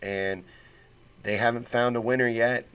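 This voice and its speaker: A man's voice: mid-range voice, fairly even intonation, a little slow, fairly bright tone, smooth. A man hosting a casual political livestream, reading chat comments and talking bluntly with fired-up conviction and folksy slang.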